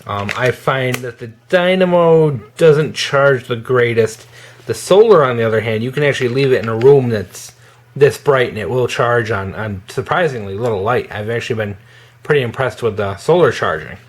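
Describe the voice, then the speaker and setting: A man giving a casual, hands-on product demonstration in an informal, halting way as a gear reviewer. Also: medium pitch, lively intonation.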